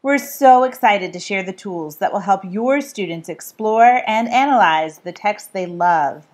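A woman's voice: mid-range voice, animated, unhurried, a little mellow. A woman who is an author, reading her own book aloud in a scripted style. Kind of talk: scripted read-aloud narration.

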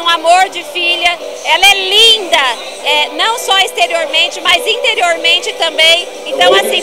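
A middle-aged woman, the proud mother of a contestant, speaking emotionally into a reporter's handheld mic. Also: very high voice. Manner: emotional, gushing. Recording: handheld mic amid a roaring crowd.

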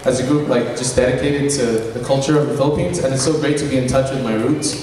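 Young man from American Samoa, mid-pitched, upbeat emcee, hesitant fillers, booming hall, far-off mic, background noise. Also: steady tone, quick pace, warm tone. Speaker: co-emcee of a student cultural show. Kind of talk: casual stage hosting. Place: large event hall on a stage. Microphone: handheld mic heard through hall speakers.

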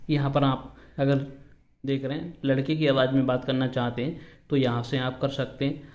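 A man's voice: medium pitch, darkish tone, lo-fi sound. A man hosting an instructional app walkthrough, explaining each step repetitively. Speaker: tutorial host.

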